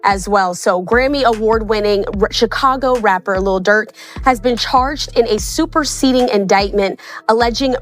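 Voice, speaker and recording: A woman's voice: on the high side, normal speed, faint music behind. A woman delivering a news report as a presenter.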